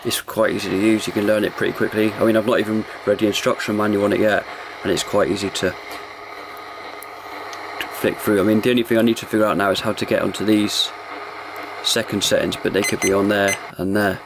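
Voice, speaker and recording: Low, flat-toned man chatting casually. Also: a little fast, noisy background. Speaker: amateur radio reviewer. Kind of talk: casual hands-on product review.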